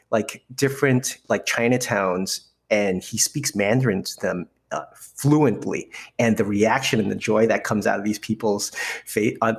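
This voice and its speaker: A man's voice: fairly low pitch, creaky. A man chatting as a podcast guest, playful and self-deprecating. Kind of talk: casual chat.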